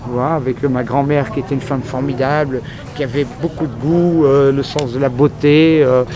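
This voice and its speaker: A man's voice: mid-range voice, fairly even intonation, moderate pace. A man from France talking frankly in a street interview, rambling through a long story with many fillers. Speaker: journalist. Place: outdoors on a busy city street. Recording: crowd noise outdoors. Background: crowd and traffic.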